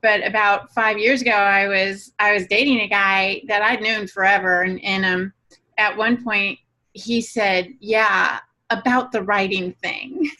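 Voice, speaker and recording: A woman's voice: mid-range voice, fairly even intonation, unhurried, sharp tone, poor audio. A woman co-hosting a podcast, telling anecdotes casually and acting out quoted remarks as she goes. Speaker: podcast co-host and writer.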